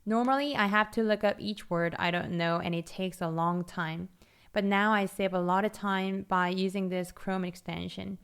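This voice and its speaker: A woman whose first language is Korean, calmly walking through a tutorial as a scripted voice-over, explaining steadily step by step. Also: mid-range voice, smooth. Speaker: language-learning video host.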